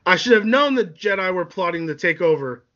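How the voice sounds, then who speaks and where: A man's voice: high voice, lively intonation, a little fast, bright, forceful tone, muffled recording, voice pushed up. A man playing a furious, betrayed young warrior turning to evil in an amateur drama, declaiming melodramatically. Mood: defiant.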